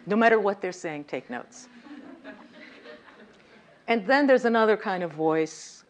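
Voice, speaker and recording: A woman with a slightly high voice giving a lively lecture on communication, often turning to the audience with questions. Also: a little slow. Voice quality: voice a little high, by her own account. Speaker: communication workshop speaker. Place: lecture room.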